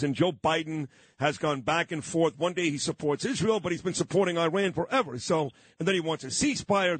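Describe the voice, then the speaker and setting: Male radio host, emphatic and list-heavy, slightly high voice, dry close mic. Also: slightly rough, no echo. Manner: emphatic, talks in long run-on lists. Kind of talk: radio interview.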